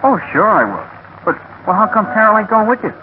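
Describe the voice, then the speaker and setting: An actor playing a good-natured, loyal cab driver in a 1940s radio drama, folksy, bashful and ungrammatical. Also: male voice, high-pitched, big pitch swings, brisk. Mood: friendly. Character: good-natured, loyal, unschooled male cabbie pal. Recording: narrow-band 1940s radio recording, faint noise.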